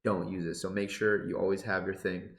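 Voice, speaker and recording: A man's voice: low voice, brisk, reverberant. Congested young man commenting on a shooter game. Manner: laid-back, excitable bursts during play. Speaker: competitive gaming YouTuber. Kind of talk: casual gameplay commentary. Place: home gaming setup. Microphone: headset with boom mic.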